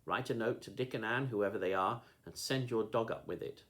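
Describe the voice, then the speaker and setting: A man's voice: on the low side, fairly quick, fairly bright tone, lightly acted. A man reading a storybook, voicing a cold, threatening adult male kidnapper. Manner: cold, commanding.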